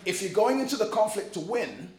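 Liberian-born pastor, high-pitched, emphatic and humorous sermon delivery, roomy hall sound. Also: male voice, sing-song, brisk, a little mellow. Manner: emphatic preaching with humor and repetition.

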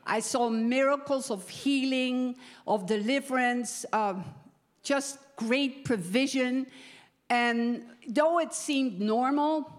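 Casting: female preacher, 70s, Dutch accent, slightly high voice, very unhurried, earnest testimony delivery. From Netherlands. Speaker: guest preacher giving a testimony. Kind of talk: sermon testimony to a church gathering.

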